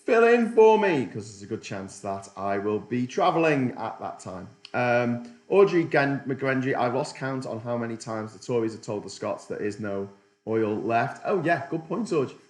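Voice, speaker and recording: A man's voice: medium pitch, very animated, normal speed. Sardonic man in an echoey room. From Britain. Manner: sardonic, rambling, with restarts. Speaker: political livestream host. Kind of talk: casual livestream commentary, reading chat.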